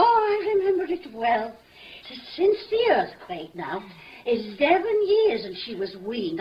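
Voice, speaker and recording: An actress playing a chatty, rambling, bawdy old nurse in a 1950s radio production of Shakespeare. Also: female voice, on the high side. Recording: muffled old noisy radio recording.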